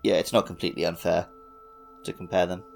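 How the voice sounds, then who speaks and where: A man's voice: deep voice, little pitch movement, a little fast, gravelly, a little mellow. A man co-hosting a casual gaming podcast with wry, joking banter. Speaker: podcast co-host. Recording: faint music behind the voice.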